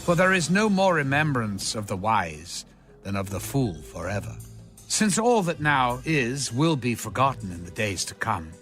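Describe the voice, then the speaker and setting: A man giving a solemn, deliberate, dramatic audiobook reading of scripture. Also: medium pitch, big pitch swings, fairly bright tone. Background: background music.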